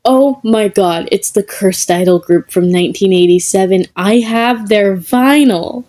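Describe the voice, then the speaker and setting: A woman voicing an excitable, easily starstruck man in a fan story reading. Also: mid-range voice, unhurried, close to an everyday voice.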